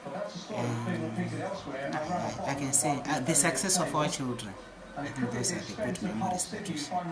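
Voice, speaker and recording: A middle-aged woman answering interview questions in a living room, speaking in a measured way with hesitations and restarts. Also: low-pitched, lively intonation, over loud music. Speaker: interviewee, wife of the celebrant. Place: living room with a display cabinet. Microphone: camera in front, at close range.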